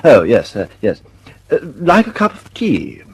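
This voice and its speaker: Dithering, lively upper-class English bureaucrat. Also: male voice, medium pitch, a little slow, husky, full character voice. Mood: flustered. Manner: dithering, breezy, condescending. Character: flustered, dismissive, chummy upper-class male British bureaucrat. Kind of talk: radio drama acting.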